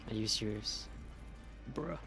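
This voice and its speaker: A man's voice: fairly low pitch, slow pace, gravelly, music in the background. A man giving casual gameplay commentary, muttering short reactions to himself as he plays. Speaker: game streamer.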